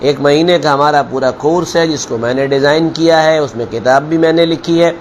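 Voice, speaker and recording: Guy preaching like a maulana, highish voice, slow deliberate storytelling, bit of noise behind him. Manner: deliberate, admonishing, repeats phrases for emphasis. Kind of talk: sermon with storytelling parable.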